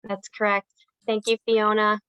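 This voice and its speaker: A woman's voice: mid-range voice, completely flat delivery, a little slow, sharp tone, smooth, no echo. A woman moderating a webinar panel, introducing speakers and reading out poll results matter-of-factly. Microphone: video call. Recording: dull dry video call audio.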